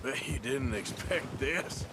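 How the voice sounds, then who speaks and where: A man's voice: medium pitch, expressive, slow pace, heavy background noise. An actor playing a rugged, wry outlaw gunman in a video game, with an American accent and folksy, laconic speech, loyal and world-weary. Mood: wry, reflective. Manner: folksy, plain-spoken, laconic drawl. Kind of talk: naturalistic video game acting. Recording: loud music over the dialogue.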